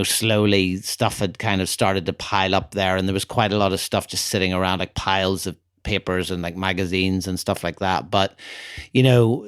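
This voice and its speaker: A man's voice: on the low side, clear, resonant tone, no echo, clean studio sound. A man co-hosting a casual podcast chat, talking in a rambling, hesitant way with lots of fillers and restarts. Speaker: co-host, photographer.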